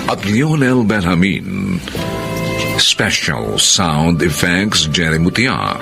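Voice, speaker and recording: A man's voice: low-pitched, big pitch swings, slow, gravelly. A man with a Filipino accent announcing a radio drama in a solemn, theatrical style. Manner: theatrical, grand. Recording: loud music behind the voice.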